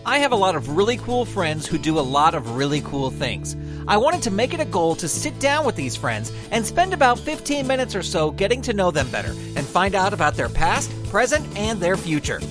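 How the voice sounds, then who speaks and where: A man's voice: high voice, very animated, brisk, over music. A man hosting an interview podcast, reading a scripted intro and then asking questions with many fillers. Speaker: podcast host. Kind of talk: interview host.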